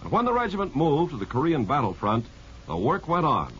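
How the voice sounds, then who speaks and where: A man's voice: on the high side, wildly swooping pitch, fairly quick, a bit bright. A man reading scripted narration as a 1950s radio announcer. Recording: muffled 1950s radio, faint noise.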